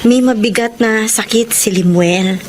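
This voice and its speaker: A woman's voice: a little high, measured pace, noisy background, close to an everyday voice. A woman playing a bubbly, teasing, gossipy friend in a Filipino audio melodrama, gushing and exclamatory. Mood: confiding. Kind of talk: melodramatic Filipino radio-style drama acting.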